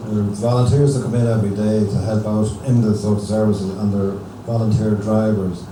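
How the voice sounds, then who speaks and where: Low-voiced Irishman speaking in an echoey hall. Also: level tone, brisk. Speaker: event speaker. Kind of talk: informal public thank-you speech. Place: hotel function hall. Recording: echoey noisy hall, distant camcorder.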